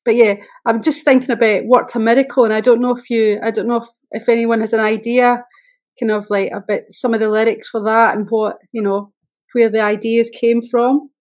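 A woman's voice: on the high side, level tone, a little fast, darkish tone. A Scottish woman talking casually as a guest, telling stories in a hesitant, meandering way. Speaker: special guest. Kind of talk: casual storytelling.

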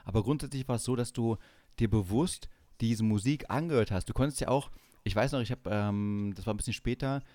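A man's voice: mid-range voice, fairly quick, dark tone, no echo. A middle-aged man casually telling stories as a podcast host.

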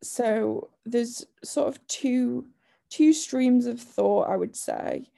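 A woman co-hosting a podcast in casual chat, her voice croaky from a lingering chest illness, talking chattily with frequent laughs and restarts. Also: fairly high pitch, slow pace, warm tone. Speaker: podcast co-host.

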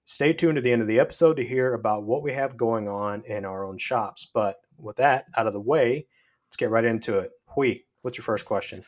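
A man opens a woodworking podcast, reading the welcome and sponsor thanks in a smooth, practiced manner. His pitch sits right in the middle for a man. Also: fairly quick. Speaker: podcast host. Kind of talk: scripted podcast intro and chat.

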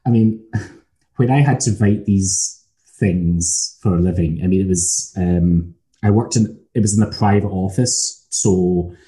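Scottish male lecturer, measured and thoughtful; very dark, low-pitched voice. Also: poor audio. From Scotland. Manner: measured, thoughtful, frequent ums. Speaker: academic lecturer. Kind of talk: casual academic conversation.

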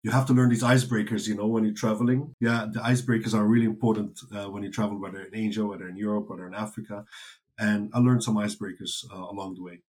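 A man's voice: fairly low pitch, fairly even intonation, quick pace, darkish tone. A man whose first language is Dutch, answering interview questions in casual talk, with many fillers and restarts. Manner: rambling with many fillers and restarts, laughs easily. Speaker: interview guest.

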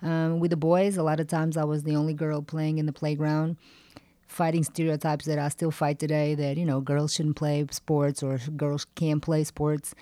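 A woman in her forties with a Portuguese accent, who answers questions in a flat, unhurried tone. Her voice is mellow and recorded dry, with plenty of ums along the way. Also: deep voice, no echo. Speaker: guest, retired basketball player. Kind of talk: interview answers.

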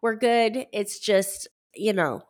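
A woman's voice: mid-range voice, slow. A woman in her thirties hosting a solo podcast, chatty and rambling, her voice stuffy from a head cold. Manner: chatty and rambling, with many fillers and restarts. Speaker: podcast host. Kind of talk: casual solo podcast talk.

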